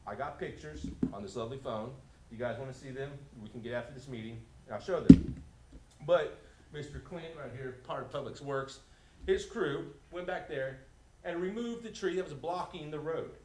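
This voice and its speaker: A man's voice: mid-range voice, moderate pace, warm tone. Man, resident at public comment, informal step-by-step explanation, echoey meeting room, background noise. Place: indoor council meeting room.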